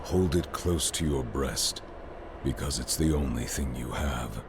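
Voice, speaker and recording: An actor playing a grim, troubled wandering warrior in a dark fantasy video game, terse and brooding. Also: male voice, extremely low pitch, a little slow, background noise. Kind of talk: dramatic video game acting. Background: cinematic background music.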